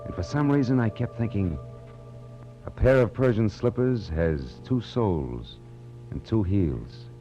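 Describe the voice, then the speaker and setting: An American actor playing a world-weary, hard-boiled private detective in 1940s radio noir, with a wry, clipped, brooding delivery. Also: male voice, on the low side, lively intonation, unhurried, warm tone. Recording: dull 1940s radio recording with music.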